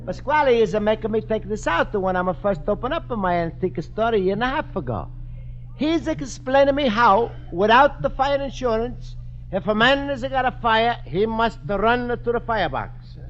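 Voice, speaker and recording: Radio sitcom role: naive Italian immigrant, very high and animated, earnest broken English, vintage muffled sound. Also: male voice, moderate pace, slight background noise. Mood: earnest, amused. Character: cheerful naive Italian immigrant man, antique shop owner. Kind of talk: 1950s radio comedy acting. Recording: dull old 1950s radio recording.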